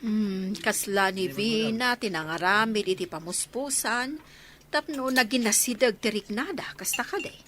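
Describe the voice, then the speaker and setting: A woman's voice: medium pitch, normal speed, crowd noise, close to an everyday voice. A woman playing an inquisitive, reflective older woman with an Ilocano accent in a radio drama.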